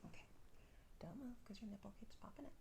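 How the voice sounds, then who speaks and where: A woman gives short, casual instructions in a quiet, mellow voice of middle pitch. There is faint noise and some clicking behind her. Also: animated, fast, gravelly.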